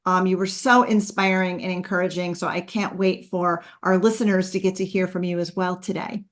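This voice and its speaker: A woman's voice: on the low side, steady tone, fairly quick. A woman hosting a podcast, talking in a chatty, upbeat way with frequent ums. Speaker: podcast host. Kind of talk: casual podcast talk.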